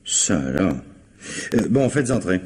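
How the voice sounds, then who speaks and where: An actor playing a reserved, authoritative police commissioner in a French radio mystery, speaking curtly. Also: male voice, low-pitched, sing-song, measured pace, darkish tone, breathy edge, heavily acted. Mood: surprised, reserved. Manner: curt, reserved.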